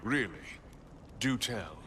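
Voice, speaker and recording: A pragmatic, welcoming leader in a video game drama. The voice is fairly low, composed and dryly humorous. Light ambient noise sits behind it. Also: male voice, expressive, slow pace, bright, forceful tone, exaggerated voice. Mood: dry, amused.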